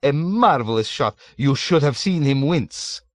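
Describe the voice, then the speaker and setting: A man's voice: mid-range voice, expressive, a little slow, dry recording, studio quality, pitched above the performer's own voice, close to an everyday voice. A man voicing a sly, mocking old man of 107. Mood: scoffing. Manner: suave, mocking, scoffing.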